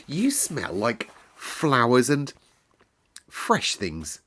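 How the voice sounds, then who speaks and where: A man's voice: mid-range voice, huge pitch swings, extremely slow, a bit bright, voice pushed up, full character voice. A man voicing a young, curious invalid boy in a storybook, exclaiming with wonder. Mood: joyous wonder.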